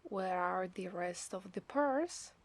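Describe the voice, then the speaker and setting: A woman with an Italian accent voicing a coy, possessive mermaid in a soft, breathy ASMR roleplay. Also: mid-range voice, lively intonation, takes it slowly, no echo. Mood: tender, dreamy. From Italy. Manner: coy, teasing, breathy murmurs. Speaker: ASMR voice actress.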